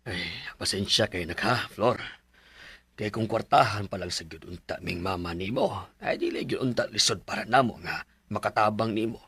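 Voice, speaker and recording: A man with a Cebuano Filipino accent playing an apologetic older father with a husky voice in a radio drama. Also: lowish voice, normal speed, sharp tone, no echo, full character voice. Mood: apologetic, regretful. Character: apologetic older father without money to help.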